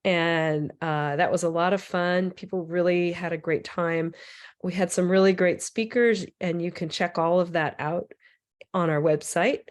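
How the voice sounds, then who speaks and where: A woman with a raspy voice, hoarse from a cold, giving a loose, rambling presentation with many fillers over a computer mic on a video call. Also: lowish voice, fairly even intonation, unhurried. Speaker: transit equity advocate presenter.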